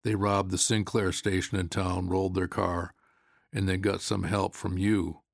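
A big, suspicious country sheriff in a literary story, voiced by a male reader. He speaks low and rough with fry, folksy but blunt and stern. The sound is close and dry. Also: flat delivery, a little slow, no room sound, exaggerated voice. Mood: stern, accusing.

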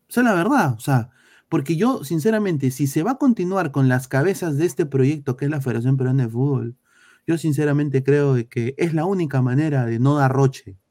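A man from Peru with a Peruvian Spanish accent, ranting bluntly and crudely as a football talk host. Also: a little high. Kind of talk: heated casual football talk.

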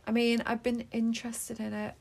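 A woman's voice: a little high, monotone, normal speed, vocal fry, crowd noise. A woman co-hosting a podcast in casual chat, playful and often finishing her co-host's sentences. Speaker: podcast co-host. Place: sitting on the floor in a home play fort.

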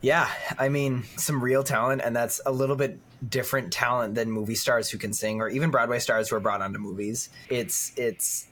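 A man hosting a casual podcast discussion, thinking aloud in a halting way. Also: medium pitch, steady tone, fairly bright tone, slight background noise. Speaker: podcast host leading the discussion.

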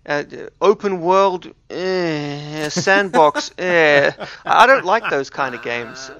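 Man, fairly high voice, rambling casual podcast chat, slightly muffled sound. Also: animated, takes it slowly, pushed and bright. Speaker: podcast co-host. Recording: dull, roomy sound.

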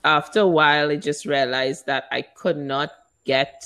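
A woman in her forties from Trinidad with a Trinidadian accent, answering interview questions as a marketing agency owner. She talks in an animated way, laughs often and stretches words for emphasis. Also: low-pitched, normal speed. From Trinidad and Tobago.

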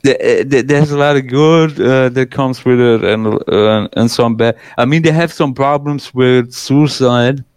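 Slow, halting man. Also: medium pitch, darkish tone, clear, resonant tone, no room sound. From Germany. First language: German. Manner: hesitant, many fillers and restarts, swears freely. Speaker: guest. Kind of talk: casual conversation.

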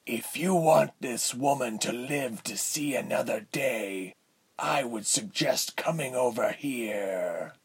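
A man voicing a condescending villain lord in a fantasy story, measured and smooth in manner with a breathy, husky tone. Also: medium pitch, pitched above the performer's own voice. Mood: coolly scornful. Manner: measured, silky, haughty.

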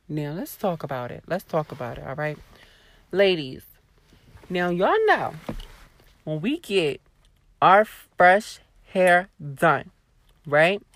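A woman YouTuber ranting casually to her viewers, sassy and blunt, with a hoarse voice and a lot of cursing. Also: low voice, animated, takes it slowly, pauses often, fairly bright tone. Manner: sassy, blunt, swears freely, talks straight to viewers. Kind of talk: casual vlog rant to camera.

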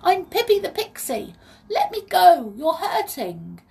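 A woman voicing a tiny, indignant female pixie who pleads and squeals in a high little voice, in a children's story. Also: huge pitch swings, a little slow, creaky, darkish tone, far from the mic, heavily acted.

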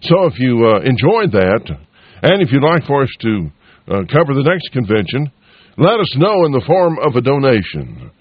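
A man's voice: mid-range voice, big pitch swings, moderate pace, breathy edge, dry recording. Folksy man on lo-fi radio. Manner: folksy, drawling, homey. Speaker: radio station host. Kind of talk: folksy on-air promo and appeal for donations. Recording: dull lo-fi radio broadcast.

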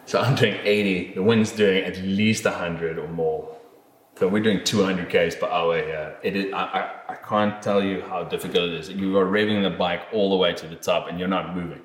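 Deep-voiced man rambling through a story. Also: steady tone, normal speed, echoey room. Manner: rambling storyteller, frequent fillers and restarts, swears casually. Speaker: podcast guest telling a travel story. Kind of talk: casual chat.

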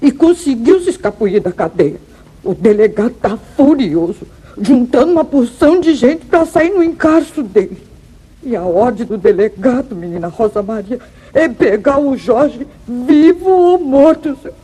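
An agitated servant woman in an old radio serial. Her voice is high and husky, deferential and alarmed. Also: lively intonation, normal speed, warm tone. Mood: alarmed, tearful. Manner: breathless, alarmed, repetitive. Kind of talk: 1950s Brazilian radio drama acting.

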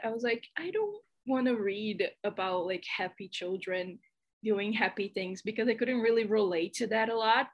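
A woman from Brazil with a Brazilian Portuguese accent, speaking English as a guest on a podcast and telling stories with quick laughs. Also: a little high, slightly rough. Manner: animated storyteller, quick laughs. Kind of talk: casual chat.

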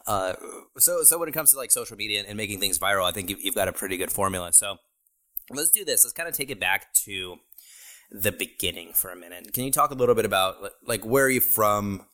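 A man's voice: fairly low pitch, lively intonation, quick pace, pushed and bright. A man hosting a podcast interview, talking loosely with lots of fillers, restarts and swearing. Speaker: podcast host. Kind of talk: casual interview chat.

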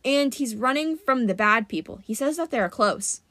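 A woman's voice: a little high, lively intonation, normal speed, fairly bright tone, no echo. A woman voicing an earnest, anxious male friend who translates in an audiobook, hesitant and explanatory.

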